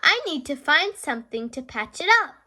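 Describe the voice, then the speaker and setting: A woman's voice: sits high, lively intonation, normal speed, bright, forceful tone, heavily acted. A woman voicing a jolly old rabbit grandfather who mends boats in a children's storybook, bellowing and boisterous. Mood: confident.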